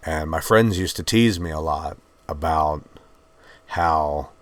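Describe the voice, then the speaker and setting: A man with a mixed Virginian and Georgian Southern accent, hosting his channel and talking in a subdued, halting, heartfelt way. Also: extremely low pitch, dry recording. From Virginia, USA. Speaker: channel host. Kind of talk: reflective personal talk to camera.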